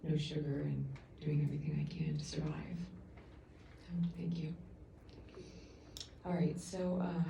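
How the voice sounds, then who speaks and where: A middle-aged woman giving an earnest, halting public comment at a council meeting, heard through the room's sound system from afar. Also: deep voice, steady tone, creaky, slight background noise. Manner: loud voice. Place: council chamber. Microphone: room sound system, picked up from afar. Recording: echoey council chamber from far off.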